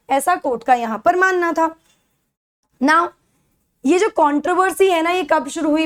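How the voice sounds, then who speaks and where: A woman with an Indian accent giving an explanatory lecture as a teacher, in an instructional way, mixing in English and checking that listeners follow. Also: high voice. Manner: instructional, checks understanding with short tag questions. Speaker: educator for civil service exam courses.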